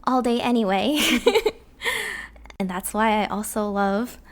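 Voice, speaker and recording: Giggly high-voiced woman chatting. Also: big pitch swings, slow pace. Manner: giggly, laughs often between phrases. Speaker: podcast guest, voice actor and streamer. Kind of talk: casual chat.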